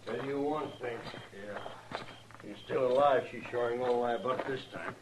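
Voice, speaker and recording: An actor playing a rough, cowardly robber in a radio Western, blurting his words in an agitated rustic manner. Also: mid-range voice, moderate pace, natural voice. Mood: menacing. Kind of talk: old-time radio Western acting. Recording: noisy, echoing old radio recording.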